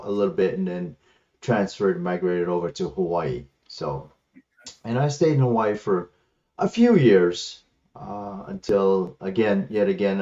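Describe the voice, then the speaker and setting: A man's voice: low-pitched, dark tone. A man from the Philippines, a native Ilocano speaker, answering casually as an interview guest over a headset. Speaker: guest, martial arts instructor. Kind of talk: casual interview answers, recounting his life. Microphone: headset on an online call. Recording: slightly muffled lo-fi headset call.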